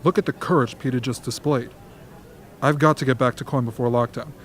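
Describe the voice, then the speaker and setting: Man acting a smooth, genial strategist. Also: medium pitch, steady tone, a little fast, crowd noise, close to an everyday voice. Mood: encouraging. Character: smooth, media-minded male strategist, genial and pragmatic. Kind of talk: amateur radio drama acting.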